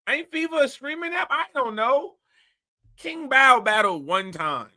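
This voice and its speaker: Male host, high bright voice, blunt rambling commentary. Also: big pitch swings, unhurried. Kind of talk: casual commentary.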